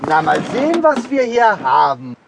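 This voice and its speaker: An actor playing a friendly, jovial kiosk owner in a children's audio drama, with drawn-out delivery. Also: male voice, high voice, sing-song, gravelly, noisy background, heavily acted.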